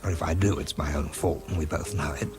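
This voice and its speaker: Very low-voiced, weary British man. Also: steady tone, fast, over music. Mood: self-deprecating, insistent. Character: wry, weary, self-deprecating male wartime bomb expert. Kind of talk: radio drama narration and dialogue.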